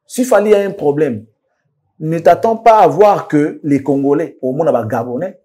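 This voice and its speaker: A man's voice: on the high side, moderate pace, warm tone. A man commenting in a casual studio discussion, switching between French and Lingala, often restarting and repeating words. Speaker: commentator guest.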